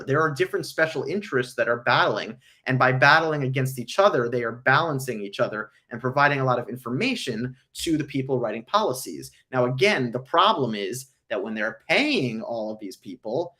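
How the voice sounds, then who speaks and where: A man hosting a casual livestream, answering viewer questions with frequent ums, his voice hoarse from illness. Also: medium pitch, a bit bright. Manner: frequent ums, earnest explanatory.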